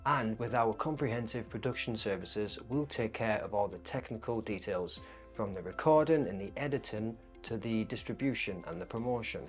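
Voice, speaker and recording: A man reading an upbeat promotional pitch for a podcast production company. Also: normal speed, faint music behind. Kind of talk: read promotional ad.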